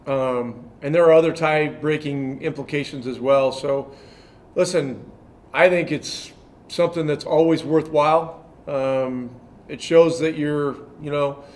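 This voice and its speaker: Measured older man, head coach. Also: on the high side, fairly even intonation. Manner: measured, many fillers and restarts. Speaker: head coach at a press conference. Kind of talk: post-game press conference answers. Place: press room in front of a sponsor backdrop.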